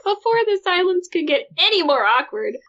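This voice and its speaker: High-voiced woman reading with giggles. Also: sing-song, brisk, fairly bright tone, muffled recording. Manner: reads aloud, breaking into frequent giggles. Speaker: podcast co-host reading the story aloud. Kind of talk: casual comedic read-along.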